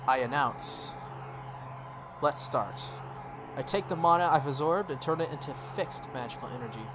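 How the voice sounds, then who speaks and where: A man's voice: on the high side, lively intonation, normal speed, background noise. A man hosting a game playthrough, reading a visual novel aloud with dramatic delivery and casual asides. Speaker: video game playthrough host. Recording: background music behind the voice. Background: game music.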